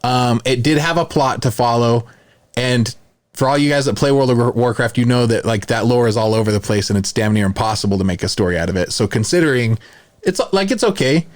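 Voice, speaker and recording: A man's voice: medium pitch, a little fast. A man co-hosting a podcast, chatting casually and giving his opinions on films. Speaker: podcast co-host. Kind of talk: casual chat, film commentary.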